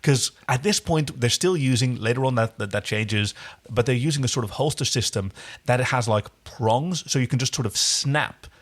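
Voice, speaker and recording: A man's voice: mid-range voice, normal speed. A man co-hosting a podcast, recapping a TV episode in casual, explanatory and jokey chat. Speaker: podcast co-host.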